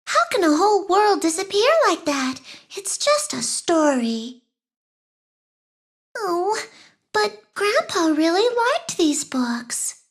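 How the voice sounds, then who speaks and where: A woman's voice: extremely high pitch, big pitch swings, takes it slowly, exaggerated voice. A woman voicing a curious, bookish little girl in a fantasy game, musing wistfully in a childlike way. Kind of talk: storybook fantasy game voice acting.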